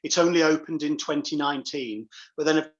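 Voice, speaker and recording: A man's voice: fairly high pitch, brisk. A man chatting casually as an interview guest, affable with some dry joking. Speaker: guest, pantomime dame performer. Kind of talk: casual chat. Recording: slightly dull, lo-fi call audio.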